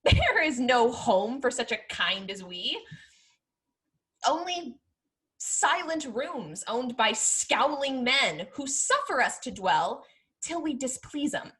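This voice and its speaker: Woman playing a bold, high-voiced mischievous young lady. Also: big pitch swings, takes it slowly, a bit bright, exaggerated voice, rough recording. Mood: defiant. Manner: theatrical, emphatic, archaic diction. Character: bold, mischievous young Spanish gentlewoman, scornful of men. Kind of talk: period stage comedy acting.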